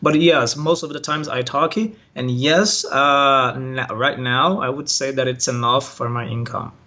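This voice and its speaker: A man from Brazil, a Portuguese native speaker, talks haltingly, with plenty of fillers and restarts. His voice sits at an ordinary pitch and comes through a dull, muffled video call line. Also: steady tone, pushed and bright. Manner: hesitant, many fillers and restarts. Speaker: online language teacher, interviewee. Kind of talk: casual interview answers.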